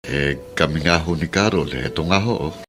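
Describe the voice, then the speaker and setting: An actor playing a concerned, respectful middle-aged son-in-law in a Filipino radio drama, speaking politely. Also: male voice, extremely low pitch, moderate pace, a bit bright. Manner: polite, deferential.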